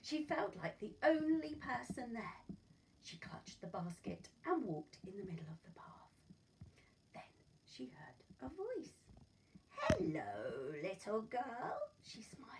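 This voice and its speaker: Slow, very expressive woman storyteller. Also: fairly high pitch. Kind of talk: expressive children's story narration. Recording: voice far from mic, noisy room.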